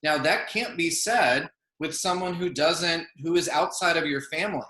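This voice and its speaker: A man moderating a panel discussion, speaking in a thoughtful, measured way and often adding "I think" and "you know.". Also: a little high, sharp tone, reverberant. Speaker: session moderator.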